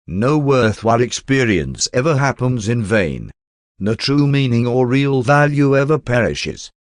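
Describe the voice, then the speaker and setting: A man reading a spiritual text as an audiobook narrator in a formal, measured and solemn manner. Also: mid-range voice, big pitch swings, no echo, studio quality.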